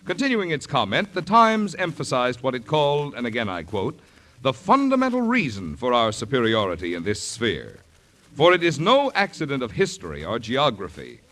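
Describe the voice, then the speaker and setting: Man for a vintage 1940s radio announcement, formal scripted delivery with animated pitch swings. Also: normal speed. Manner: formal, declamatory.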